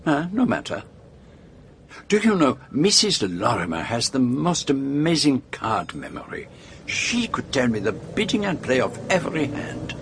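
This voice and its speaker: An actor playing a mature, reflective Belgian detective in a radio drama, with a French-speaking Belgian accent and formal, deliberate phrasing. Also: male voice, medium pitch, huge pitch swings, fairly bright tone, exaggerated voice. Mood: musing. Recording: noisy vehicle ambience behind dialogue.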